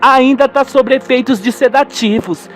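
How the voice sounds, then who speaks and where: Man voicing an earnest high-pitched young woman. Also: steady tone, a little slow, soft background music. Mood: worried. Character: grown-up daughter, earnest, worried, sensible.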